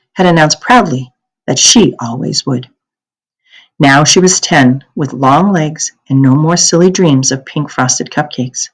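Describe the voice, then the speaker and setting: A woman reading a children's novel aloud as an expressive narrator. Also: sits low, husky, rough recording.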